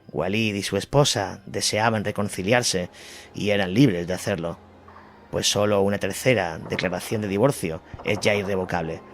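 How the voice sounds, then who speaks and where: A man with a low voice narrates a scripted war chronicle. His telling is measured, and music plays clearly behind him.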